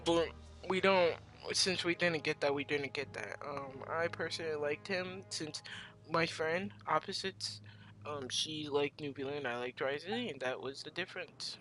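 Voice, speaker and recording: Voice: medium pitch, normal speed, fairly bright tone, faint music behind, poor audio. A teenage streamer giving casual gameplay commentary, informal and rambling, reacting aloud.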